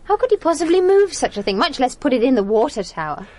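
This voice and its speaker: An animated, questioning police sidekick. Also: female voice, high-pitched, fast. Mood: puzzled. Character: questioning, uneasy female colleague of a police inspector. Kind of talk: radio drama acting.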